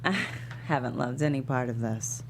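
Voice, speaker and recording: A woman playing a famous female talk show host, weary but warm and proud, in a screenplay table read. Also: rumbling low, steady tone, normal speed, a little hiss or hum. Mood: sincere, weary.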